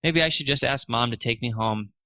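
A man reads a children's storybook aloud in a bright, forward voice. His delivery is expressive, lifting for the characters' lines. The sound is dry, with very little room around it. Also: medium pitch, brisk, no echo. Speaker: narrator reading a children's story.

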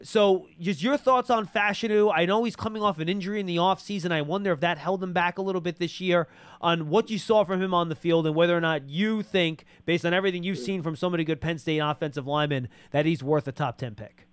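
A man's voice: high voice, fast talker, clear, resonant tone, dry recording. A man in his forties hosting a conversational sports interview at a close studio microphone. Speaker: sports talk show host. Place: small indoor podcast studio. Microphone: close boom studio microphone.

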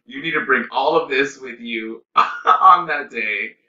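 A man's voice: mid-range voice, unhurried, sharp tone, muffled recording. A man in his thirties hosting a casual, chatty talk show. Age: 30s. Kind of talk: casual chat and talk to viewers.

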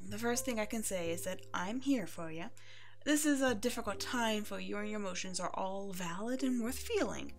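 A woman's voice: medium pitch, fairly bright tone. A woman playing a chatty, caring, playful hairstylist who comforts a client in an ASMR roleplay. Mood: gentle, reassuring. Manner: chatty, bubbly, reassuring. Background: background music.

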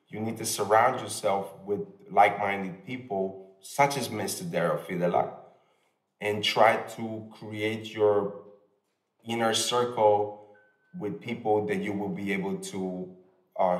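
A middle-aged man giving an informal, emphatic address with some street slang, played back over loudspeakers in a room. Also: on the low side. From Balkans. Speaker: hip hop artist. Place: video played through speakers in a lecture room. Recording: echoey, distant loudspeaker playback of video.

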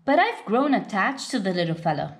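A woman reading a children's picture book aloud in an expressive storytelling style. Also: mid-range voice, a little fast. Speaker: storybook reader.

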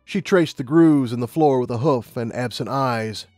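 A man's voice: mid-range voice, moderate pace, darkish tone, dry recording, soft background music. A man reading scripted fiction as an audiobook narrator.

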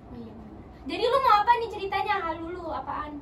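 A woman's voice: very high voice, sing-song, a bit bright. A young woman in her twenties, playful and giggly, hosting a casual joking chat while sitting on a floor. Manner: playful, giggly, quick banter. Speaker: host. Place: small room with foam panels, sitting on floor. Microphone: far camera. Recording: echoey room from far off, noisy.

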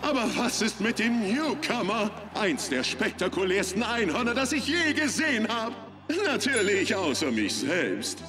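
A man's voice: high voice, very animated, measured pace, bright, forceful tone, soft background music, heavily acted. An actor playing a flamboyant, vain male unicorn who announces races in a showy, self-important way. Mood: excited, grand. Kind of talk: animated cartoon race commentary.

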